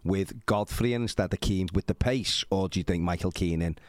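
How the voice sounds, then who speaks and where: A man's voice: sits low, brisk, no room sound. A man talking casually about sport as a podcast panellist.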